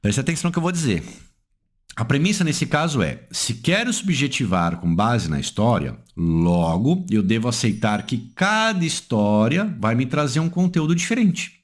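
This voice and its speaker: A man's voice: sing-song, moderate pace. A man with a Brazilian accent, explaining a legal decision as an analyst, emphatic and posing rhetorical questions he then answers. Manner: emphatic, swings pitch up and down for stress.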